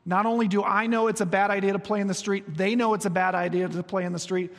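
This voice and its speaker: A man in his forties preaching a sermon, telling stories with humor and moving between soft, slow passages and quick, lively ones. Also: sits high. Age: 40s. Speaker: pastor. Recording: long reverb of a large hall.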